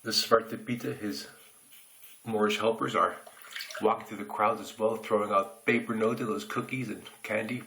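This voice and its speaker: An American man telling a childhood story in a relaxed, reflective way while shaving, recorded on a phone camera in a bathroom. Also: lowish voice, low-quality recording. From United States. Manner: reflective, unhurried anecdotes with pauses. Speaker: shaving reviewer.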